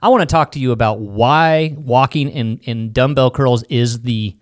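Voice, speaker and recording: A man's voice: medium pitch, normal speed, no room sound, studio quality. A man hosting a casual interview, asking curious questions and often stammering and repeating words. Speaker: podcast host.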